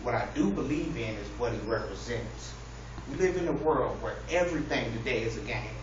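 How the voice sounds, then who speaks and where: A man's voice: medium pitch, expressive, fairly quick. Man speaking in a very echoey room. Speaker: public speaker. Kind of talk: humorous persuasive speech to a live audience. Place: echoey indoor room with an audience. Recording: distant, very echoey, noisy, muffled room recording.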